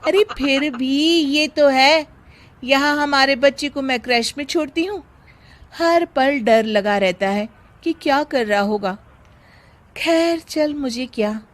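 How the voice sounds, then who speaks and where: A woman narrator plays a working woman's office friend in a family story. The voice is high and emphatic, with a chatty, informal, envious manner. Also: slow pace.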